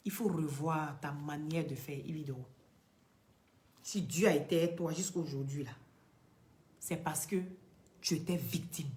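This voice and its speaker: A woman from Ivory Coast with an Ivorian French accent, giving impassioned commentary straight to the camera, preachy and emphatic, swinging from loud peaks to quiet, near-whispered asides. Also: low voice, steady tone, normal speed, long pauses. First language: Dioula or another Ivorian language. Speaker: social media commentator.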